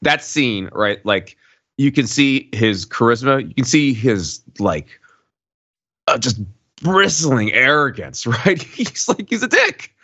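A man's voice: mid-range voice, takes it slowly, slightly rough, no room sound. A man co-hosting a casual film discussion in a colloquial style full of asides and tag questions. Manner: animated colloquial asides, frequent "like" and "right?". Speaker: podcast co-host. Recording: dull, muffled close microphone.

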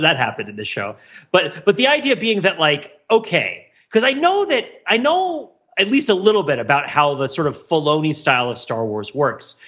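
Male podcast co-host, fairly high and animated, thinks out loud in loops, slightly lo-fi sound. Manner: rambling, analytical, self-interrupting. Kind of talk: casual pop-culture discussion.

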